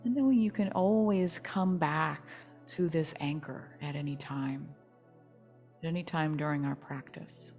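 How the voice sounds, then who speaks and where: Low, slow-paced woman guiding relaxation. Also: faint music behind. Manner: soothing, gentle, unhurried. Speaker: yoga nidra teacher. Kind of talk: guided relaxation meditation.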